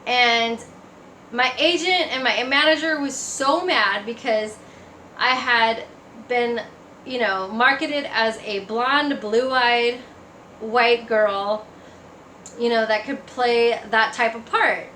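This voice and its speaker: A woman's voice: on the high side, slow pace, pushed and bright. A woman giving a personal testimony in a casual, conversational storytelling way. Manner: conversational, acts out remembered remarks. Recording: echoey room, faint background noise.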